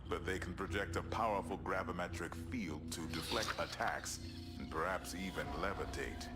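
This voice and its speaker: A man acting as a battle-weary American general in a game cutscene. His voice sits very low and he briefs in solemn, measured, clipped phrases. Loud music plays underneath. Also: close to an everyday voice. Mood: wary, analytical. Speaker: military commander narrator. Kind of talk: scripted cinematic game narration. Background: loud dramatic music.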